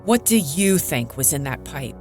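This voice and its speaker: A woman acts as a radio show interviewer in an audio drama. Her voice is fairly low, and she asks questions in a composed, concerned way over faint music. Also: very animated, normal speed, darkish tone. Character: composed female radio colleague interviewing the host on air.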